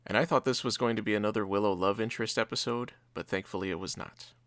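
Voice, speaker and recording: A man's voice: fairly low pitch, fairly even intonation, fast, fairly bright tone, slight background noise. A man co-hosting a review, casually recapping and commenting on a TV episode. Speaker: reviewer, co-host.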